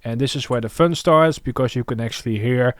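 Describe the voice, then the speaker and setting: A man hosting a music production tutorial, thinking aloud in an informal, halting way. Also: medium pitch, no room sound.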